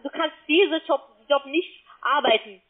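A woman's voice: high voice, moderate pace, a loud crowd. Brash, taunting woman on a phone line. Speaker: call-centre phone scammer. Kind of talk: argumentative phone conversation. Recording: band-limited phone-line sound.

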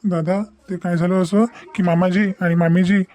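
A man voicing an aggrieved young husband in an animated family drama, halting and repetitive. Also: sits high, close to an everyday voice. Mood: hurt, reporting. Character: aggrieved young husband recounting insults from his in-laws.

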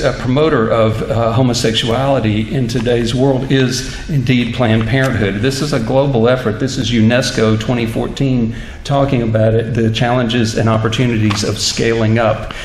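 Man speaking in an echoey hall. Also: mid-range voice. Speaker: minister giving a talk. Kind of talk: public lecture in church, reading from notes. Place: church stage at a lectern, indoors. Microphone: microphone on stand. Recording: roomy hall echo, clear background noise.

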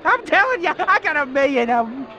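Very high-pitched wisecracking bug comedian. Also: male voice, big pitch swings, brisk, bright, forceful tone, full character voice. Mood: jokey. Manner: jokey, hammy delivery. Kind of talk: cartoon game stand-up joke telling. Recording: music and bar crowd behind voice.

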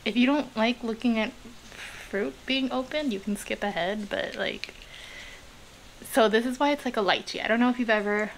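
A woman's voice: fairly high pitch, normal speed, rough recording. Chatty woman, rain pattering behind. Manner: chatty, rambling, with many ums and likes. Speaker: art vlogger. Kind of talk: casual chat to camera. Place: small home kitchen-studio indoors, rain outside. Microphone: camera close on the table. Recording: home video with clear rain noise behind. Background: rain noise.

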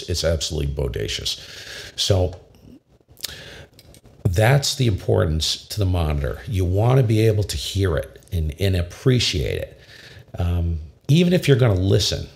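A man in his fifties with a very low voice, enthusiastically explaining ham radio audio gear in a casual tutorial from his home radio room. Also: creaky, a little mellow. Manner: enthusiastic, emphatic, repeats himself. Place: home radio shack, small indoor room. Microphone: studio condenser mic with pop filter.

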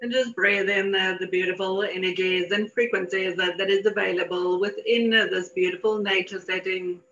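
A woman leading a guided meditation in a soothing, deliberate manner with repeated phrases. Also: mid-range voice, steady tone, fairly bright tone. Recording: dull lo-fi audio.

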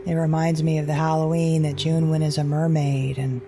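A woman's voice: low voice, little pitch movement, moderate pace, warm tone, soft background music. An actress playing an anxious but defiant mother surviving a wild world in an audio drama monologue, fretful and questioning herself in broken phrases. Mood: weary, wondering. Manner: fretful, self-questioning, fragmented, repeating herself. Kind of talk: audio drama monologue, recorded-journal narration.

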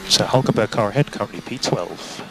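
A man giving calm, matter-of-fact, terse instructions to a driver over team radio as a race engineer. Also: lowish voice, brisk, creaky. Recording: team radio with faint background noise.

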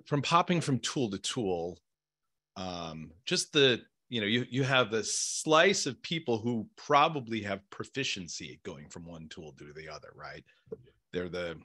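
Middle-aged male interviewer, lowish bright voice, very lively intonation, casual and musing. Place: small home office, indoors. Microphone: desk microphone on boom arm.

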